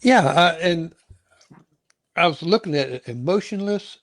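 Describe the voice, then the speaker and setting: A man's voice: a little high, animated, measured pace, dry recording, studio quality. A man hosting a casual interview, chatting in a rambling, folksy way and often repeating himself. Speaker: talk show host and interviewer.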